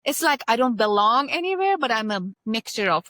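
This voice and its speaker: A woman in her forties, raised in Germany, with a Turkish-German accent, hosting a casual podcast conversation in an animated, emphatic way. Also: fairly high pitch, a little fast, no room sound, clean studio sound. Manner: animated, emphatic, repeats herself. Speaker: podcast host.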